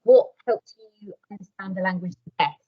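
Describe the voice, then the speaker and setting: A woman's voice: medium pitch, a little fast, dry recording. Woman, moderator, friendly questions, video call, dry, dull lo-fi laptop mic. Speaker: moderator of a school discussion. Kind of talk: casual video-call discussion. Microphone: laptop microphone on a video call.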